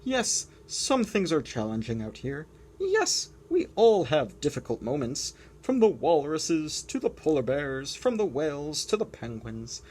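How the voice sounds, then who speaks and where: A woman's voice: deep voice, very animated, a little slow, a little mellow, breathy edge, voice pushed up, heavily acted. Woman voicing a wise old walrus. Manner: grand, soothing, poetic. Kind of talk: picture book read-aloud.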